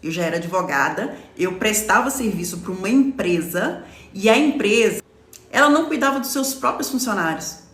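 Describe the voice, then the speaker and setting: A woman in her forties from Brazil talks on a live stream as a lawyer explaining workplace rights. Her speech is casual and explanatory, with frequent check-ins to the viewers. The voice sits in a middle pitch and carries a noticeable echo from the room. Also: animated, normal speed. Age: 40s. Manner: explanatory, stops often to read comments, says "tá?". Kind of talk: live-stream talk to followers.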